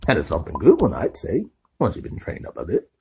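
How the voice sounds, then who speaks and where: A man voicing a huge, hot-tempered but kindly gamekeeper, booming and growling in an audiobook. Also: huge pitch swings, a little fast, vocal fry, background noise, full character voice. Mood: hearty. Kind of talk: audiobook character voice.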